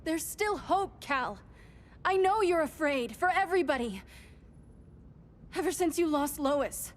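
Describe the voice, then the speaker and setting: A woman's voice: high-pitched, expressive, a little slow, slight background noise. A woman playing an earnest, pleading young heroine from an alien world in a video game, with urgent dramatic delivery. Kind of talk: cinematic video game acting. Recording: loud orchestral music behind the voice.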